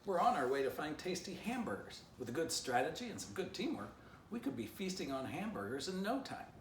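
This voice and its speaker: A man playing a patient, reasonable male dog who leads a pack in a storybook, speaking in a gentle, placating way. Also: a little high, sing-song, fairly quick, pitched above the performer's own voice. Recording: roomy echo, distant mic, faint noise.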